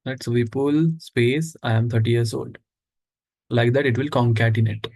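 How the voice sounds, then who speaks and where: A man's voice: medium pitch, fairly even intonation, normal speed, a little mellow. Man teaching a live coding class. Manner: explains step by step with questions to the class. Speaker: instructor.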